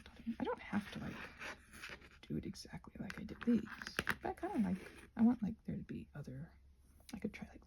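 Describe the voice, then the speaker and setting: A woman chats casually in a husky, breathy voice with a gravelly creak at the edges. Her tone is soft and mellow, often falling to a near-whisper. She is hesitant and self-deprecating, letting thoughts trail off as she rambles to viewers. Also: a little high. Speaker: ASMR creator rambling while crafting.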